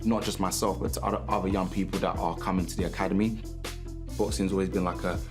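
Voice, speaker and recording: A man's voice: sits low, fairly even intonation, quick pace. A man who works as an assistant boxing coach, speaking earnestly and reflectively in a documentary interview. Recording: music bed underneath.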